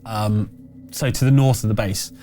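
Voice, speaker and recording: A man's voice: fairly low pitch, fairly even intonation, normal speed, dark tone, faint music behind. A man running a tabletop roleplaying game, narrating and explaining in a conversational, slightly hesitant way. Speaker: game master.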